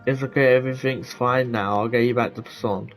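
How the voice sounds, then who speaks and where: A man's voice: mid-range voice, fairly even intonation, brisk, faint music behind. A man casually commenting while playing a game, offhand and chatty. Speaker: gaming streamer. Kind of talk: casual commentary over gameplay.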